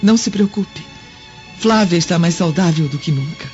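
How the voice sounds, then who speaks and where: Man voicing a high-pitched, earnest Roman wife. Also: normal speed, a little mellow, natural voice. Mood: anxious, reassuring. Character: anxious, devoted Roman senator's wife, a woman. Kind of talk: dramatized audiobook radio drama acting. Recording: dull lo-fi audio, music behind. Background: background music.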